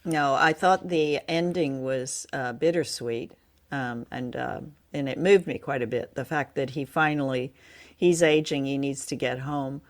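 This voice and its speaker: A woman's voice: a bass voice, unhurried. Hesitant woman co-host chatting casually. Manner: hesitant, searching for words. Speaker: podcast co-host. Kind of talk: casual review chat.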